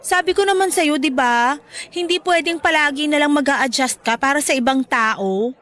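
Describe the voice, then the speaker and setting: A woman's voice: high-pitched, moderate pace, fairly bright tone. A woman acting as a frank, teasing, caring young best friend in a Filipino radio drama. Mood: frank advice.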